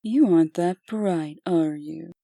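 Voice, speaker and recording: A woman plays a condescending warrior huntress in a comic dub. Her voice is low and mellow, close and dry. She taunts coolly, as if her prey were beneath her. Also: creaky, no echo, heavily acted. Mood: mocking. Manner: slow, mocking, condescending.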